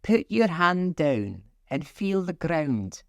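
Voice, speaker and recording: Storybook: a fiery, commanding female flower, raised pitch, done by a male narrator. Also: slow, creaky, smooth, no echo, exaggerated voice. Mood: imperious. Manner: imperious, excitable. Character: fiery, passionate female tiger lily, bossy.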